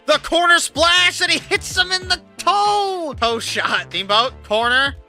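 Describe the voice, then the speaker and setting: An excitable man with a high, very bright and sharp voice, calling a wrestling video game with lots of swearing and laughter. He drops to quick mumbles, then jumps up to near yells. Faint game music plays underneath. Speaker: gaming YouTuber. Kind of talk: casual wrestling game commentary.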